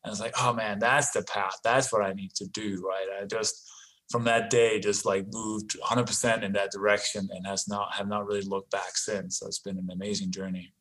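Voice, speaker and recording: A man's voice: fairly low pitch, little pitch movement, normal speed, a bit bright, rough recording. A man in his forties from Denmark with a slight Danish accent, talking casually as a podcast guest, full of "you know" and "right?". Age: 40s. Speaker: podcast guest, real estate investor. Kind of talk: casual interview talk.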